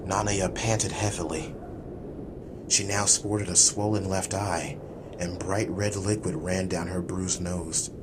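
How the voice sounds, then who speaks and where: A man's voice: deep voice, steady tone, slow, background noise. A man reading scripted, dramatic action-fantasy narration as an audiobook narrator.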